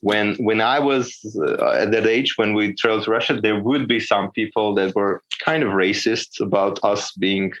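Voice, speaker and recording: A man's voice: on the low side, normal speed, few pauses, a bit bright, lo-fi sound. A man with an Armenian accent, a comedian guest chatting casually on a podcast, hesitant, often repeating words and laughing.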